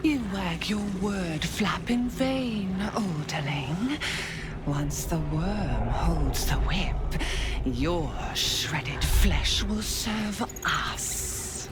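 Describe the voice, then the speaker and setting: A woman's voice: fairly low pitch, lively intonation, drawn-out words, loud music behind the voice. An actress playing a bloodthirsty, unhinged female assassin in a video game cutscene, gloating in a singsong whisper. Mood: whispered threat. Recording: game audio with loud score.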